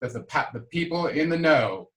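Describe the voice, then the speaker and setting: A man hosting a podcast panel, asking questions in a halting, casual way with many fillers and restarts. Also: a little high, lively intonation, fairly bright tone. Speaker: podcast host. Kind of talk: casual interview chat.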